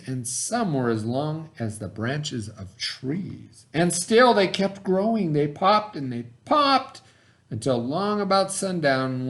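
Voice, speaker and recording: A man reading a rhyming children's story aloud in a theatrical, expressive way, with dramatic pauses. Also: on the high side. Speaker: storybook reader.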